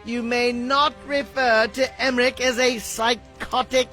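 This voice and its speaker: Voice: mid-range voice, level tone, a little slow, sharp tone, soft background music. An actor voicing a kindly, dignified cartoon king with a formal, theatrical, courtly manner. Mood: earnest, conciliatory. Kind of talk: animated cartoon acting.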